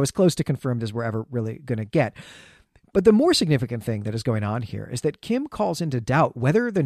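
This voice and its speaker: Guy cohosting a book podcast, medium pitch, keen emphatic explainer, super dry sound. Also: darkish tone, no room sound. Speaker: podcast co-host. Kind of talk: conversational literary recap and analysis.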